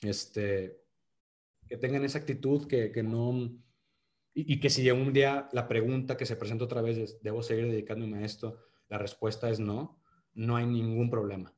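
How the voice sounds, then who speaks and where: A man's voice: medium pitch, fairly even intonation, normal speed, a little mellow. Regio actor dude in his 30s, talks in circles, lots of "este" and restarts, earnest. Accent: northern Mexican (Monterrey). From Monterrey, Mexico. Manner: many restarts and fillers, earnest then joking. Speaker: actor, podcast guest. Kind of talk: casual interview chat.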